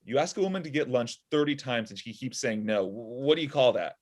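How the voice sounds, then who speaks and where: A man's voice: medium pitch, lively intonation, brisk, close to an everyday voice. Man playing a sardonic, frustrated inventor. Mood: irritated. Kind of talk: remote table read of a comedy script. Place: small room at home. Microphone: video call.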